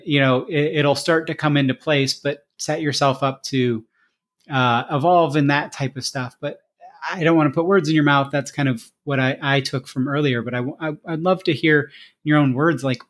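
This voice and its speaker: A man hosting a podcast interview in a casual, conversational style, wording his questions in a rambling, wordy way. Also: fairly high pitch.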